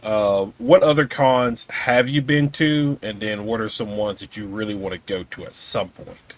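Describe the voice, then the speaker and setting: A man's voice: lowish voice, fairly even intonation, moderate pace. A man hosting a podcast, asking questions in a casual, conversational interview style.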